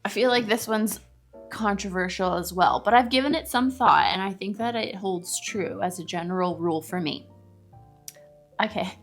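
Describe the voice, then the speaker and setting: A woman's voice: mid-range voice, normal speed, soft background music. A woman co-hosting a casual, bantering chat, emphatic and opinionated with a teasing edge. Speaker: co-host of a book discussion video.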